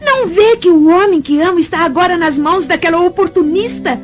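A woman's voice: very high voice, fairly quick. An actress with a Brazilian accent playing a spoiled, insolent young Roman noblewoman in a radio drama, petulant and biting. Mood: outraged. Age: young adult. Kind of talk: melodramatic radio drama acting. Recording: lo-fi radio drama, music behind.

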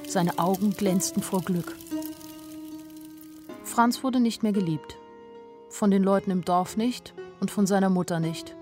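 A sensitive young woman narrates her own story in a radio play, voiced by an actress. Her mid-pitched voice is intimate and literary, with music behind it. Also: a little mellow, close to an everyday voice. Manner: literary, intimate first-person telling.

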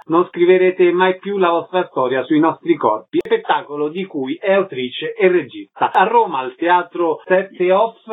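High-pitched male radio host, phone-like sound. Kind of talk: scripted introduction and interviewing.

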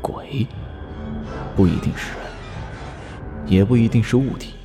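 An actor playing an ominous, impersonal voice that announces deadly rules in a horror drama, measured and solemn. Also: male voice, medium pitch, lightly acted. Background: loud music.

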